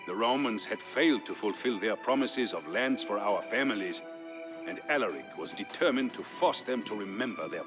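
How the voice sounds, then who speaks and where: Deep-voiced man, solemn dramatic narrator. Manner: solemn, measured, theatrical storytelling. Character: grave Visigoth man recounting his people's war history. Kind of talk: dramatic scripted narration for a historical game. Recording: music behind the voice. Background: music.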